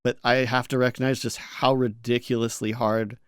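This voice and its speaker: A man with a smooth, clear voice talks casually as a co-host on a gaming show. He has a playful, teasing manner and a mid-range pitch. The sound is close and dry. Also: level tone, moderate pace, no room sound. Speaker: podcast co-host.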